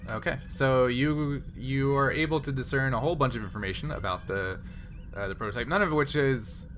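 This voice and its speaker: A man with a bright, average-pitched voice runs a tabletop role-playing game. He explains things haltingly, piecing the narration together as he goes. A faint layer of noise sits behind him. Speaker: game master.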